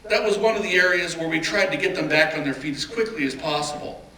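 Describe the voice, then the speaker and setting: Echoey, distant actor as earnest male mayor, bright-voiced. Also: fairly high pitch, fast. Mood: reflective, earnest. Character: reflective big-city mayor recalling riots, earnest and emphatic. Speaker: stage reader in a dramatic reading. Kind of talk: dramatic reading of oral history monologue. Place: live reading in a roomy indoor venue. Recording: echoey room, distant mic, background noise.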